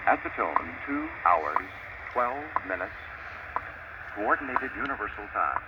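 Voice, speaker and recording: A man's voice: fairly low pitch, sing-song, measured pace. A man making formal, scripted time announcements over shortwave radio. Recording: muffled shortwave signal, loud static. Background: loud radio static.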